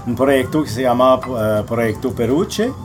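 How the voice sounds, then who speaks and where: A man's voice: mid-range voice, a little slow. A man from Quebec with a Quebec French accent, a teacher talking as a guest, hesitant and full of fillers. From Quebec, Canada. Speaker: guest, secondary school teacher. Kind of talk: casual chat in a learned language. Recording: music playing in the background.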